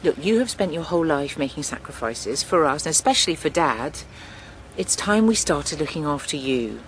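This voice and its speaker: An actress playing a protective, practical middle-aged daughter in a radio drama, speaking in a direct, caring way. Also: female voice, low voice, animated, normal speed, a little hiss or hum. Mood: firm, protective. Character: protective, practical grown daughter of farming family. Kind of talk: naturalistic radio drama acting.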